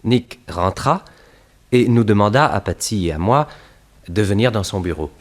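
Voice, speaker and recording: An actor playing a detective's loyal assistant, narrating the case in the first person for a 1960s French radio drama in a husky voice. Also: male voice, lowish voice, expressive, moderate pace. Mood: suspenseful, sober.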